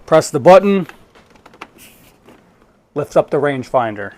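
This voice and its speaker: A man's voice: on the high side, very animated, measured pace. A man giving a casual, informal product review as an online collectibles reviewer.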